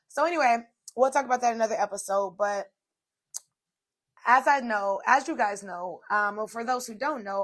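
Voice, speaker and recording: A woman hosting a solo inspirational talk, her voice slightly hoarse, chatty and direct, addressing viewers as "y'all.". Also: medium pitch. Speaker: podcast host. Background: soft music playing.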